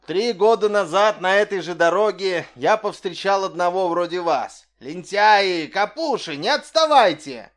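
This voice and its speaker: Guy putting on a loud bragging old tramp, high and pushy, always in a rush. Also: lively intonation, unhurried, few pauses. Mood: urging. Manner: shouting and bragging, hurrying others on. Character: small boastful old tramp man, restless and in a hurry.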